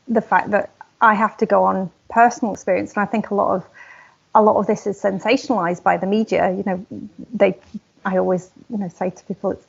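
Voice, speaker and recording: A woman's voice: mid-range voice, creaky, a little mellow, slightly rough, dull audio. A woman hosting a conversational interview, chatty and agreeable in the way she talks. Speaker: interviewer, host.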